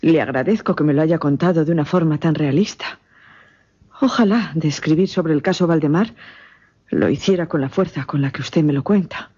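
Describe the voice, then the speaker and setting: A woman's voice: low-pitched, normal speed, dark tone, breathy edge. An actress playing a polite, impressionable lady in a radio drama, speaking breathily in an earnest, formal way. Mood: earnest, impressed. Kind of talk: dramatic radio play dialogue. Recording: dull radio drama recording.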